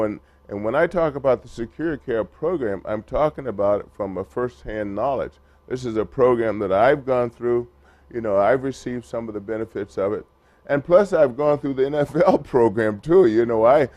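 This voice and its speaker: A man speaking at a press conference for a retired players group, halting, with many fillers and restarts. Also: mid-range voice, darkish tone, no echo. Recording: muffled lo-fi recording.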